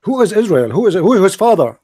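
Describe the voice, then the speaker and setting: A man argues in a heated debate, his voice sitting high for a man. He mocks, repeats himself and hammers the same demand. The sound is dry and close to the mic. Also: sing-song, fast, mellow, dry recording. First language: Arabic. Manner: mocking, combative, repeats himself, laughs at opponent. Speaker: debate host.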